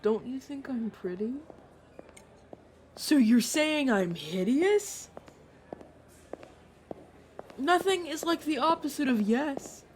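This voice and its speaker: A woman's voice: high-pitched, expressive, slow, pauses often, a little hiss or hum. A woman playing a chatty, playful young male vampire mentor in an audio roleplay, talking casually to the listener. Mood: mock offended. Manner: teasing, casual, dramatic about food. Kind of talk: audio roleplay acting, addressing the listener directly.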